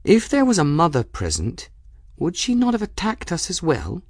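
Guy doing a plucky practical girl in a storybook, higher voice, earnest and a bit formal. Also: wildly swooping pitch, moderate pace, slightly rough, no echo, studio quality, heavily acted. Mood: firm. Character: brave, firm, practical young girl explorer.

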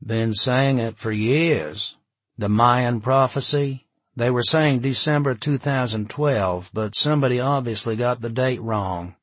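A man voicing a laid-back, sardonic aging hippie who cheerfully prophesies doom in an audiobook, with smiling, slangy drawn-out talk. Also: medium pitch, no echo, close to an everyday voice. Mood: loud, proclaiming.

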